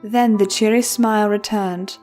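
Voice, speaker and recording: A woman's voice: mid-range voice, steady tone, unhurried, warm tone. A woman reading scripted storybook narration as the storyteller, with music playing behind her. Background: background music.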